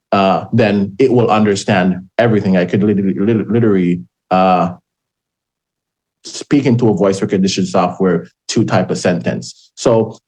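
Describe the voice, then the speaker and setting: A Jamaican man hosting a panel, his voice sitting on the low side. He talks in a halting way, stopping and restarting his sentences with frequent fillers. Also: a little mellow. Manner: hesitant, many fillers and restarts. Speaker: podcast host. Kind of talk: panel discussion.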